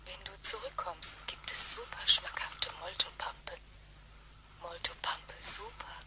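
A woman playing a detached computer contact in a sci-fi radio drama, speaking in a breathy whisper and clipped formulas. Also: fairly low pitch, wildly swooping pitch, a little slow, bright, forceful tone, exaggerated voice, rough recording. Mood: detached, formulaic.

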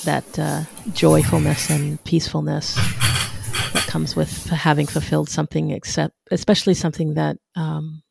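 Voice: medium pitch, creaky, darkish tone, slight background noise. A podcast host asking thoughtful questions and affirming the guest. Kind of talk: podcast interview.

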